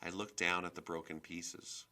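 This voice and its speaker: Bright-voiced man reading a story aloud. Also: low voice, little pitch movement, moderate pace. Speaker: storybook reader. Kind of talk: expressive scripted narration, read aloud.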